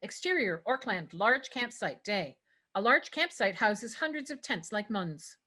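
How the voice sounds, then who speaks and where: A woman's voice: mid-range voice, expressive, a little slow, sharp tone. A woman narrating a screenplay, reading out scripted stage directions at a table read.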